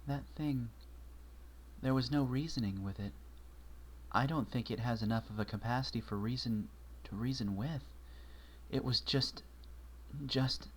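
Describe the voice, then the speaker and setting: A man reading a story aloud, voicing an anxious, bookish young pony mare who talks earnestly and often in a hushed voice. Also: medium pitch, moderate pace, lightly acted, low-quality recording. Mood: reflective.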